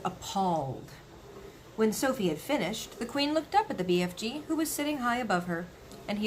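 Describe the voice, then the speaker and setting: A woman reads a children's story aloud with expression. Her voice sits fairly high and moves about a lot in pitch, over faint background noise. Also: poor audio. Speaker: story reader.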